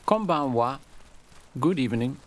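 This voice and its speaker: A man voicing a cheerful, devout young Japanese boy captive in a storybook tale, gentle and animated. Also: medium pitch, a little slow, natural voice. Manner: gentle, animated, singing at times.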